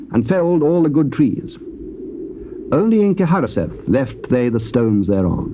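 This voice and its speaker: A man narrating scripture in a measured, solemn reading style. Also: mid-range voice, dark tone, slight background noise. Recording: muffled old cassette tape.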